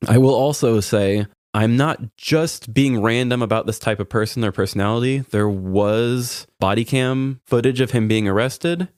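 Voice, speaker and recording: A man's voice: medium pitch, moderate pace, hardly any pauses, darkish tone, clear, resonant tone, no room sound, studio quality. A man co-hosting a podcast, telling stories in a casual, conversational way and quoting people as he goes. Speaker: podcast co-host. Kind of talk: casual true-crime comedy chat.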